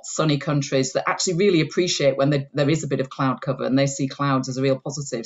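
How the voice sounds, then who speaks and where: A woman in her forties answers as a guest expert on a video call. She explains clearly, in a steady flow. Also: low voice. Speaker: chief executive of a science society, guest expert. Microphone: earphone headset on a video call. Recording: dull lo-fi video-call audio.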